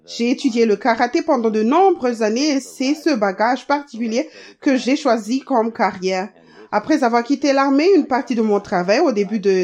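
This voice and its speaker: Woman doing a calm dub voice-over, slightly high voice, even and steady. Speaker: voice-over translator. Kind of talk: read French voice-over of a testimony video.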